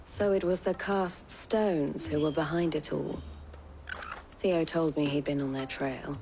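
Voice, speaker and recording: A fairly low-pitched woman, the shrewd head of a caravan in a fantasy game. She speaks with composed, formal assurance and a wry touch. Music plays clearly behind her. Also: steady tone. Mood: composed, curious. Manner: formal, measured, gently wry phrasing. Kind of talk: scripted fantasy game voice acting. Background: background music.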